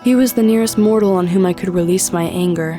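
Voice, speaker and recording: A woman's voice: mid-range voice, fast talker, mellow, soft background music, lightly acted. A woman playing a vengeful demon woman, the devil's daughter, feral yet coolly sorrowful, with a commanding, theatrical delivery in an audio drama. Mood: cold regret.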